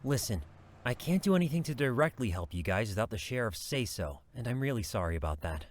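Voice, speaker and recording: A man's voice: medium pitch, sing-song, fairly quick, lightly acted. A man acting as an earnest, timid police deputy in an audio drama, hesitant and apologetic.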